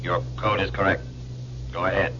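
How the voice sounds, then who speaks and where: An actor playing a cold, secretive Martian agent in a 1950s radio drama, terse and formal. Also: male voice, low-pitched, little pitch movement, normal speed, pushed and bright. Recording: muffled, hissy 1950s radio recording.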